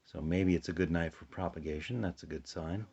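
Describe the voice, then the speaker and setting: An American man chatting casually through a hands-on demonstration, low-key and unhurried, thinking aloud and sounding a little sleepy. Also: steady tone, darkish tone, breathy edge. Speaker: hobbyist demonstrating a shortwave radio. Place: indoors at a tabletop, close to the camera. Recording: dull, muffled home recording.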